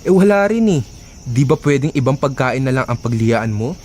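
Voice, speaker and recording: A man's voice: medium pitch, normal speed, slight background noise, natural voice. A man voice-acting an exasperated, whiny young boyfriend in a Filipino audio drama. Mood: fed up. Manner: whiny, complaining, animated. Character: exasperated young boyfriend Gael, expectant father, dodgy charmer.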